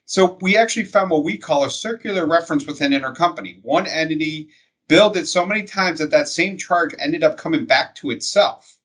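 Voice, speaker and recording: A man's voice: a little high, a bit bright, rough recording. A man speaking as an industry expert, explaining things conversationally in plain, step-by-step terms.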